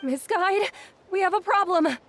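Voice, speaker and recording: A woman's voice: very high voice, measured pace, fairly bright tone, a little hiss or hum, heavily acted. A woman voicing a polite, anxious young female expedition member in a video game. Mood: worried. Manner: polite, worried.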